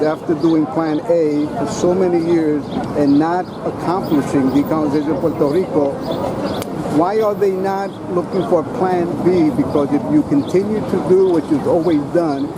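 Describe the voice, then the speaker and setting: A man interviewing marchers outdoors, talking in an opinionated, halting way with many fillers. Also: on the high side. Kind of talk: casual street interview. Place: outdoors on a city street. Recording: loud street noise.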